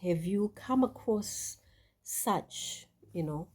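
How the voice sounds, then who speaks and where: A woman's voice: medium pitch, expressive, takes it slowly, a little mellow, breathy edge. A Zimbabwean woman vlogger speaking reflectively to her viewers, with many fillers and restarts. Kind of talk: casual talk to camera.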